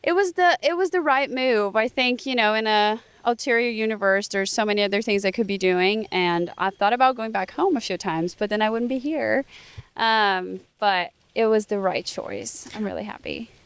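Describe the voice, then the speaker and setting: A young woman from Brazil, speaking English as a second language, tells her story in an upbeat, eager way, with frequent ums along the way. Her voice is smooth and clear. The recording is dry and close. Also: medium pitch, moderate pace, dry recording. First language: Portuguese. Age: 20s. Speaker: student podcast host. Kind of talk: casual interview chat.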